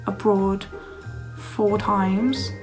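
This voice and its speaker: A young woman in her early twenties is chatting casually to a phone camera, answering viewer questions. Her voice sounds a little hoarse and croaky. She fills her talk with "like", and faint music plays behind her. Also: medium pitch, fairly even intonation, drawn-out words. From Wales. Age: young adult. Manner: chatty, lots of "like", laughs often. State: slightly hoarse, losing her voice. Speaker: vlogger. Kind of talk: casual Q&A chat.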